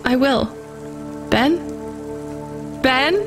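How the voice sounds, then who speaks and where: A woman's voice: high-pitched, drawn-out words, over music. An actress playing a weary, caring young woman who works as a delivery driver in a video game. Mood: anguished, pleading. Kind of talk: naturalistic video game acting.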